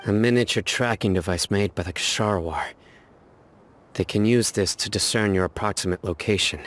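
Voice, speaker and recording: An actor voicing a stern, composed young warrior in a game, speaking in a measured, serious way. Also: male voice, fairly low pitch, a bit bright, slight background noise, lightly acted. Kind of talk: game cutscene voice acting.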